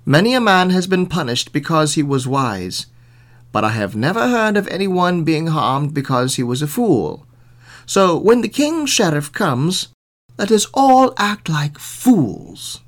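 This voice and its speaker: A clever village wise man read by a male narrator makes his case deliberately. He is persuasive and emphatic, with a very animated pitch.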